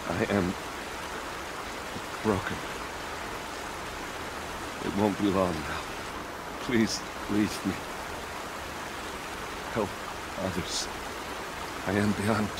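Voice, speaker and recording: Wounded devout warrior, super slow halting low voice, loud rushing noise behind. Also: male voice. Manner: halting, labored, reverent. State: weak, strained by injury. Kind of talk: dramatic video game acting.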